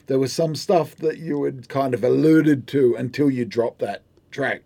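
A man hosting a podcast interview, steering the talk and chiming in with quick affirmations. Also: mid-range voice, creaky, warm tone. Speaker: podcast host. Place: podcast studio.

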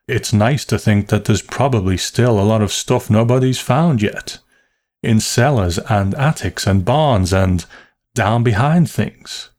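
A man voicing an anxious father in an audiobook story, forcing agreeable cheer with nervous, halting reassurance. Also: fairly low pitch, a little mellow, natural voice. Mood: interested, musing.

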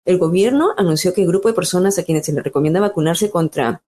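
A woman's voice: on the low side, fast, warm tone. A woman presenting a health news segment on a talk show, reading figures and reports steadily with small slips.

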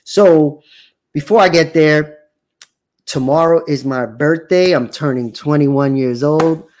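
A man's voice: on the high side, slow pace, darkish tone. A man in his forties hosting his own show, talking casually and rambling with many fillers and restarts. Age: 40s. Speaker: show host. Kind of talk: casual livestream talk.

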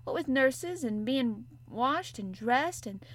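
A woman's voice: high voice, animated, takes it slowly, no echo, voice pushed up, full character voice. Woman voicing a young Yorkshire housemaid, broad dialect, blunt, good-natured, storybook. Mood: chatty. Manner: broad dialect, plain-spoken and homely.

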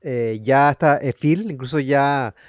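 A man with a Mexican accent co-hosting a casual comedic chat, relaxed and hesitant with many fillers. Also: mid-range voice, measured pace, no echo, clean studio sound. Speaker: co-host.

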